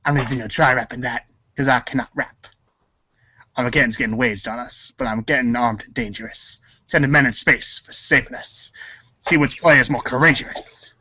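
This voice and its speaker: A man's voice: medium pitch, brisk, pushed and bright, slightly rough, no echo, rough recording. A man acting in a comic reader's theater show, goofing around and stumbling through his lines with ad-libs. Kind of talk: comic table read with ad-libs.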